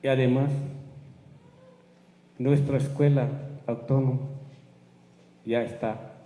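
A man whose first language is Tzotzil, giving a halting public address in his second language. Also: medium pitch, steady tone, warm tone, echoey room, in a large hall. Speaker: community speaker.

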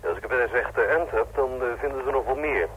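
An actor playing a matter-of-fact, apologetic doctor in a radio play, conversational and a little hesitant. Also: male voice, mid-range voice, fast talker, husky, narrow, tinny audio. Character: matter-of-fact, apologetic male doctor examining old bones. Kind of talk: Dutch radio play acting. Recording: noisy old radio drama recording.

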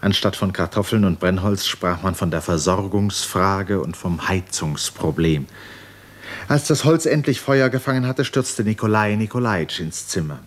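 A man narrating a 1950s literary radio play in a measured, sober manner. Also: deep voice, animated.

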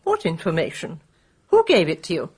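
An actress in a radio drama playing a guarded, self-dramatising British widow with theatrical intensity. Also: female voice, deep voice, wildly swooping pitch, moderate pace, darkish tone, slightly rough, exaggerated voice. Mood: guarded, indignant.

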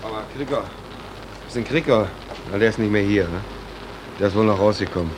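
Low, subdued ship's stoker, acted by a man. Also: close to an everyday voice. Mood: hushed concern. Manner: halting, subdued. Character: sober, careful male ship's stoker. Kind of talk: 1940s German radio play acting. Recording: noisy 1940s radio recording.

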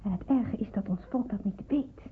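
Vurige jonge Joodse onderduikster, hese stem. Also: female voice, medium pitch, moderate pace, slightly rough. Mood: earnest, fervent. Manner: earnest, emphatic, pleading delivery. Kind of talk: Dutch radio play acting. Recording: muffled narrowband old radio play.

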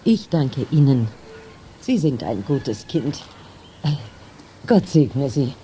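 A humble old grandmother in a children's radio play. Her voice is very low and darkly mellow, with halting, embarrassed phrasing over faint noise. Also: big pitch swings, exaggerated voice. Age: older. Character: apologetic, devout old grandmother, timid and embarrassed.

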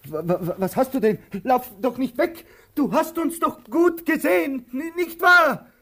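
An actor in a German radio play, playing an aging vagabond who is anxious and hopeful, with a husky voice and halting, theatrical delivery. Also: male voice, very high voice, expressive, heavily acted. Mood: agitated, pleading. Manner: halting questions, repeats himself, theatrical swings from urgent to hushed. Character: aging male vagabond, anxious, hopeful, caring for his companion.

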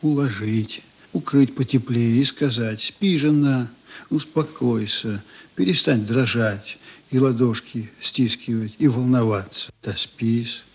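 A man acting a tender, longing man thinking aloud in a radio play, husky, intimate and unhurried. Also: mid-range voice. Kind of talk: inner monologue in a radio play.

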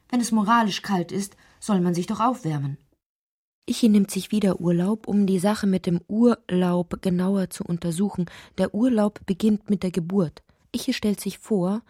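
Woman, audio drama narrator, husky breathy voice, near-whisper touches, deliberate poetic recitation, very dry sound. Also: medium pitch, a little mellow, no echo. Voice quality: breathy, often dropping toward a whisper.

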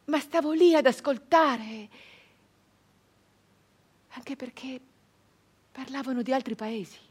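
An actress playing a passionate, wistful 19th-century village woman in an intense theatrical monologue, swinging between hushed and loud delivery. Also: fairly high pitch, breathy edge, full character voice. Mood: hushed, fearful.

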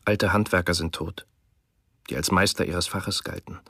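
Deep mournful man reading, dry sound. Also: steady tone, normal speed, sharp tone, slightly rough, no echo. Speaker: reader of a translated wartime text. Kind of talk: solemn documentary reading.

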